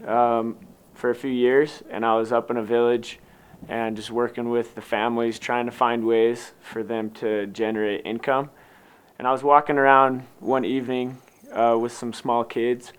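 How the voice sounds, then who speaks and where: A man's voice: medium pitch, clear, resonant tone. An American man telling a personal story in a casual, unscripted and loosely rambling way, as if to an interviewer. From Utah, United States. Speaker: coffee company founder telling its story.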